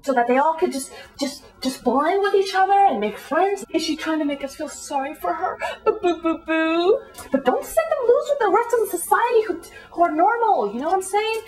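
A very high-voiced, judgmental woman played by a female monologist holds forth in a comic monologue. She is indignant and condescending, firing off dramatic rhetorical questions with open scorn. Music is part of the recording. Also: expressive, a little fast, few pauses, darkish tone, poor audio. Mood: scornful, indignant. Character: judgmental, scornful woman, switching between English and Spanish.

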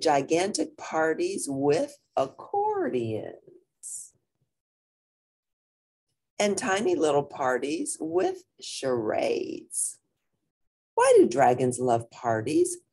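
Expressive, low-voiced woman reading to children. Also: husky. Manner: animated, deliberate, addressing young listeners. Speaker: storyteller reading a picture book aloud. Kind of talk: expressive children's read-aloud with brief asides.

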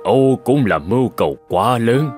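A man playing a calm, forgiving elderly temple master in a cartoon, measured and gently instructive. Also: medium pitch, soft background music, close to an everyday voice. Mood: serene. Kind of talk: cartoon voice acting.

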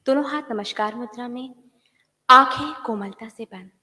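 A woman's voice: a little high, very fast, sharp tone. A woman with an Indian accent politely giving instructions as she leads a group prayer in a reverberant hall. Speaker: prayer leader.

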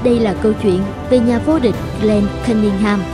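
A woman's voice: mid-range voice, fairly even intonation, normal speed, music in the background. A woman reading scripted story narration as a narrator.

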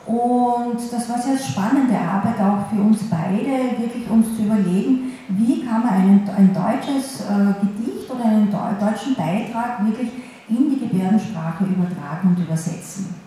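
A woman interpreter voicing a signed lecture in the first person, in a halting way with restarts and pauses, in a large wood-panelled hall. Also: mid-range voice, mellow, slight background noise. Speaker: sign language interpreter. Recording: very echoey hall, faint ambience.

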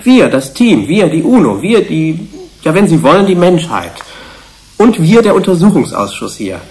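An actor playing a conscientious, sentimental research team member in a German radio play, earnest and reflective, searching for words. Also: male voice, a little high, very animated, normal speed, darkish tone. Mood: earnest, self-reproaching.

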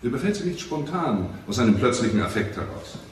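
Actor for matter-of-fact detective, crime radio drama; methodical, distant from mic in a very reverberant space. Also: male voice, mid-range voice, sing-song, a little fast, lightly acted. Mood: firm. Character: firm, methodical male detective defending his investigation. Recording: very echoey, distant, noisy.

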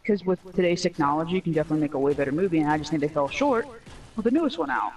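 A man's voice: on the high side, animated, racing. A young man co-hosting a gaming show, chatting casually with opinions of his own, a little distant from the microphone. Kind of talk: casual chat over gameplay.

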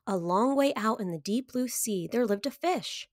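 Woman in her 30s doing kids story time, highish voice, dry close sound, expressive. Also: moderate pace, dry recording. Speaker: story time host reading aloud to children. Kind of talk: children's picture book read-aloud.

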